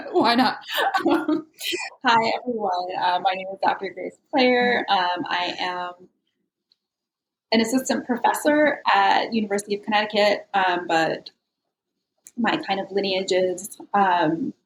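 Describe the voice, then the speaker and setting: A woman's voice: medium pitch, unhurried. A cheerful woman introduces herself on a video call and laughs easily. Her voice sounds roomy and echoey, with a faint hiss behind it. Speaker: guest, assistant professor. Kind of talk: casual self-introduction. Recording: roomy home recording with faint noise.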